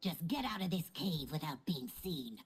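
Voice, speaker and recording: A woman's voice: low voice, very animated, moderate pace, vocal fry, breathy edge, exaggerated voice. An actress playing a cool, commanding female saboteur, giving conspiratorial orders in fantasy game quest dialogue. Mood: cool, scheming.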